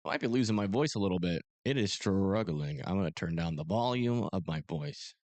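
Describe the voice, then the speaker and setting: A man with a hoarse, strained voice, casually and self-deprecatingly talking through live radar coverage as a livestream host. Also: sits low, lively intonation, brisk, darkish tone, dry recording. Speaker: storm-chasing livestream host. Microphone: close desk microphone.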